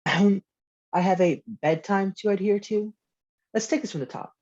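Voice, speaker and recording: A woman's voice: medium pitch, normal speed, a little mellow, lightly acted. A woman playing a precocious, dryly cheeky child in a comedy table read, heard over dull lo-fi call audio. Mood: officious. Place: remote video call read.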